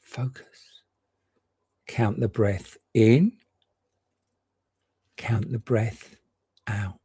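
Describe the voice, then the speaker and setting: A man gently and reassuringly guiding a meditation, leaving unhurried pauses between short phrases. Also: fairly low pitch, fairly even intonation, slightly rough, no room sound. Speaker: meditation guide. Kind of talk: guided meditation.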